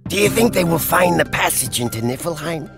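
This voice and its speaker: A man's voice: on the high side, lively intonation, moderate pace. A man voicing an impatient, eager little dwarf miner in a fantasy game, exclaiming urgently. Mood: curious. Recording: music clearly under the voice.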